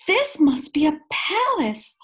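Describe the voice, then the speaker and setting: Lady doing a small curious toy bear from a picture book, soft breathy voice, slow, innocent and amazed. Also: high-pitched, very animated, voice pushed up, exaggerated voice. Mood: hushed wonder. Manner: wondering, innocent.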